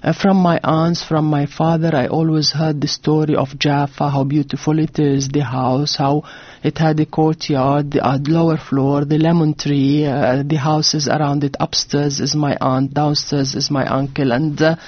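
A woman's voice: low-pitched, flat delivery, normal speed, no echo, lo-fi sound. A woman from Jordan, an author telling her family story in a radio interview, earnest and full of fillers and restarts. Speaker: author on a book tour. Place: radio studio.

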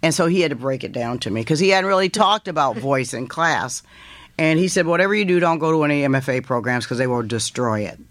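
A woman in her fifties with a deep voice, a guest author telling stories from her student days in an animated way. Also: fast, no room sound. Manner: animated, quotes others in lively voices, laughs while telling. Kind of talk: casual storytelling interview.

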